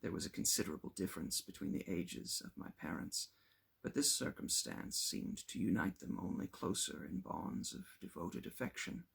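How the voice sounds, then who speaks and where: Deep, gravelly man reading aloud. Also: sing-song, moderate pace, fairly bright tone. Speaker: audiobook reader. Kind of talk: scripted narration of a classic novel.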